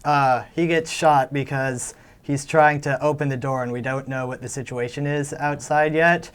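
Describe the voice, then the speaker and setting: A man's voice: mid-range voice, steady tone, normal speed, smooth. A young man, a student actor, chatting casually in a studio interview. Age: teens to young adult.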